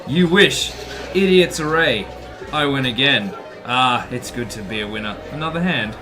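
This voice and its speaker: A man's voice: mid-range voice, animated, moderate pace, music in the background, natural voice. A man acting a confident, teasing card-playing underworld contact in an audio drama. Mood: smug, teasing.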